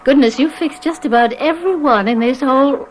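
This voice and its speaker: A woman's voice: sits high, brisk, natural voice, rough recording. An actress in a radio drama playing a devoted, patient woman who speaks soothingly to her troubled sweetheart. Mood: soothing, tender.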